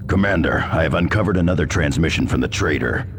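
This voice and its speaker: Very deep, grave space marine. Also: male voice, quick pace, over music. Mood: urgent. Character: earnest, grave male space marine officer. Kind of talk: scripted video game cutscene acting. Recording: echoing, engine-like noise behind.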